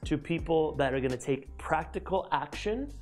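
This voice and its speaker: A man's voice: on the high side, animated, moderate pace, darkish tone, faint music behind. A man in his thirties hosting an interview, talking energetically with many fillers and restarts, on a lapel mic in a glass-walled office. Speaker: host. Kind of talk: enthusiastic interview intro.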